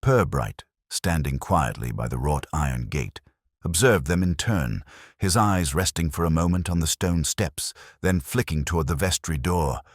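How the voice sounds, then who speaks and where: A man reading a scripted mystery story as narrator in a wry, measured, literary manner. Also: rumbling low, expressive, no room sound, studio quality.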